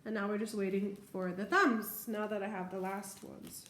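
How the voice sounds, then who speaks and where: A woman's voice: mid-range voice, flat delivery, normal speed, fairly bright tone. Chatty woman in an echoey room. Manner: chatty, informal, narrates each step. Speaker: nail tutorial vlogger. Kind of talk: casual hands-on demonstration chat. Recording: echoey room with faint background noise.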